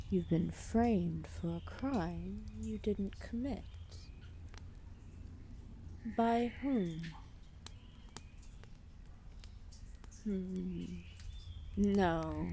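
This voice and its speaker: Slow, dark-voiced serpent goddess, acted by a woman. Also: lowish voice, a little hiss or hum. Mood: calm, inquisitive. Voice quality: soft, breathy, often dropping into whispers. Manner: slow, deliberate, formal phrasing with long pauses. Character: calm, solemn serpent deity, ancient and gently possessive. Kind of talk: ASMR roleplay acting.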